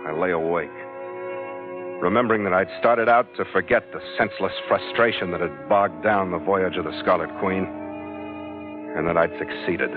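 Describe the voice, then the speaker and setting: An actor playing a tough, romantic sea captain in a 1940s radio adventure, speaking in a terse, wry way. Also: male voice, deep voice, close to an everyday voice. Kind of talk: 1940s radio adventure acting and first-person narration. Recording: muffled old radio recording with music.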